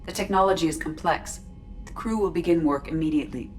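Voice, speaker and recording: An actress voicing a calm starship computer that speaks in a precise, even, clipped way. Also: female voice, low-pitched, fast, soft background music, lightly acted. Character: ship's AI, precise, calm, female-voiced. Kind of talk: video game cutscene acting. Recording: game audio with score.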